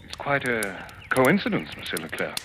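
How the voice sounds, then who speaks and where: An actor playing a formal, polite, probing police sergeant in a 1940s radio drama. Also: male voice, lowish voice, huge pitch swings, moderate pace, bright, forceful tone, exaggerated voice. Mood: insinuating. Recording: old radio recording with light noise.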